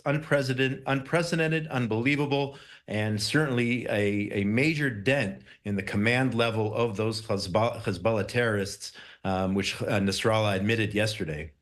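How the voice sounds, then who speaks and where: Man giving emphatic live news commentary. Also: medium pitch. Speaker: Middle East correspondent, interview guest.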